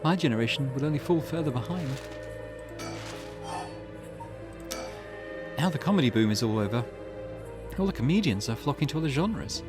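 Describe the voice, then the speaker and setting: A man's voice: on the high side, expressive, quick pace, over music, voice pushed up, lightly acted. A man voicing a weary, grieving middle-aged mother from a visual novel, reading her old-fashioned, wistful thoughts aloud. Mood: reflective.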